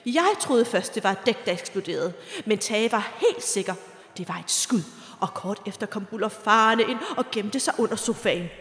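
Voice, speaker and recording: An actress playing an indignant, sharp-witted parishioner in a comic radio drama. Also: female voice, medium pitch, lively intonation. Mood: worried, confiding. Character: indignant, sharp-witted married woman parishioner, devoted dog owner. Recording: echoey reverberant church sound.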